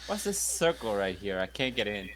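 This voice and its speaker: A man's voice: on the low side, very animated, normal speed, fairly bright tone, a little hiss or hum. A man playing in a tabletop game, chatting casually and making playful jokes. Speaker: player.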